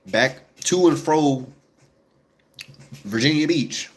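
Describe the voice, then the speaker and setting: A man's voice: medium pitch, animated, takes it slowly. A congested young man chatting casually and rambling to his viewers, full of slang and fillers. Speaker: vlogger.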